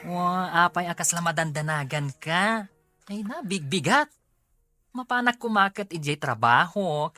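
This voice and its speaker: A woman's voice: on the low side, animated, a little slow, pushed and bright, soft background music. A woman playing a concerned, fretful confidante in an Ilocano radio drama, with a Filipino accent.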